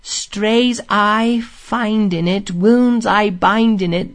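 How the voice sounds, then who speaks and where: A man's voice: sits high, very slow, voice pushed up, full character voice. A man voicing a languid, poetic water rat from a storybook, wistful and rapt as he murmurs. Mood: rapt, chanting.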